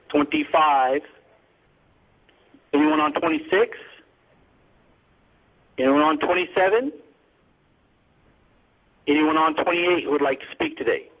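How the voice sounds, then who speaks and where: A man with a slightly high voice moderates a call. His delivery is orderly and businesslike, and the sound has the narrow, muffled band of a telephone.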